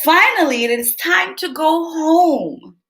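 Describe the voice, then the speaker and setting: A woman's voice: very high voice, lively intonation, takes it slowly, a bit bright, voice pushed up, exaggerated voice. A woman voicing a young cat brother from a children's storybook. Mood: cheerful. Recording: roomy echo.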